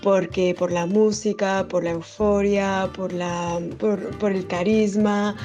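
A woman speaking off the cuff, haltingly searching for her words. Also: mid-range voice, steady tone, darkish tone, soft background music. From born in Dominican Republic, raised abroad. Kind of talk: unscripted personal statement.

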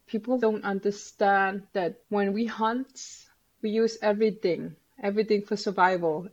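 A woman from Greenland speaks English with a Greenlandic accent, her first language being Greenlandic. She talks in an earnest, plainspoken way as an interview guest. The sound is slightly dull and lo-fi. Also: medium pitch. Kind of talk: conversational interview.

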